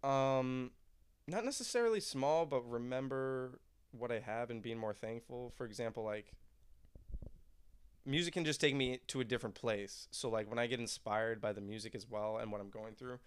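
Young man, musician guest, casual rambling chat, smooth voice, dry close mic. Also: medium pitch, moderate pace, no echo. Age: young adult. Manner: laid-back, rambling, laughs often.

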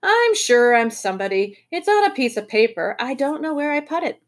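A woman voicing a silly, vague, mournful male storybook creature with a drawn-out, absent-minded, sing-song delivery. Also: high-pitched, sing-song, pitched above the performer's own voice.

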